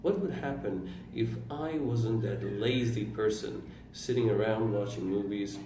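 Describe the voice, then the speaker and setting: A man in his twenties whose first language is Turkish, talking slowly about his own life in a halting way, pausing and correcting himself. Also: lowish voice. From Iran. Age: 20s. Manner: slow, halting, with pauses and self-corrections. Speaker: vlogger. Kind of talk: reflective personal monologue. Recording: roomy, distant mic with faint room noise.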